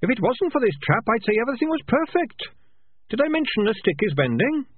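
Chirpy jaunty rat, squeaky-high and husky, voiced by a male narrator, tinny dry sound. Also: very animated, brisk, dry recording, heavily acted. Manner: chirpy, jaunty, calls people boss. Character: plump cheerful tap-dancing rat, male.

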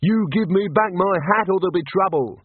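A man voicing a cowardly, panicky male wizard with a shrill, whiny voice. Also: brisk, breathy edge, no echo. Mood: panicked. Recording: tinny phone-like dry sound.

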